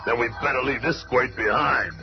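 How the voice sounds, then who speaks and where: An actor playing a gruff, sarcastic rocky brute superhero with a gravelly voice in a 1970s radio drama. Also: male voice, mid-range voice, normal speed, slight background noise. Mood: grumbling. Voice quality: gravelly, creaky. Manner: sarcastic streetwise tough guy. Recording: loud music bed, old radio show.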